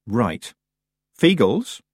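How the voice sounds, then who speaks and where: Storybook casting: bossy, anxious young witch girl played by a male reader, forthright, with animated pitch. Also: extremely slow, no echo, studio quality, full character voice. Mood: commanding. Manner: forthright, insistent.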